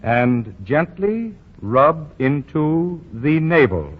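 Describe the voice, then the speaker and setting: A fussy know-it-all young boss. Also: male voice, on the high side, very animated, extremely slow, exaggerated voice. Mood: insistent. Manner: pompous, stammering, chuckling. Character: fussy self-assured young male store boss. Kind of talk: 1940s radio acting. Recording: dull 1940 radio broadcast.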